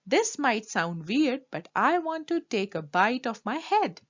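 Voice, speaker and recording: Woman as young boy, earnest, excitable, high voice, lively swooping pitch, storybook read-aloud. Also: measured pace, lo-fi sound. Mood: hesitant. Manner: exclamatory, wide-eyed questioning.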